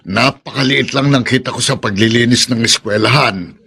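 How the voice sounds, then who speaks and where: A man's voice: mid-range voice, normal speed, gravelly, sharp tone, breathy edge, heavily acted. A man playing a humble, eager school janitor in a radio drama, deferential and chuckling, with a Filipino accent. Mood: apologetic, humble.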